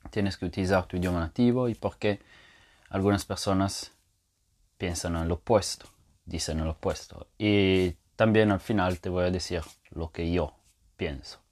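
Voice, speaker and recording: A man's voice: low-pitched, darkish tone. A man teaching as a podcast host, explaining step by step and thinking aloud, with frequent fillers and restarts. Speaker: language teacher.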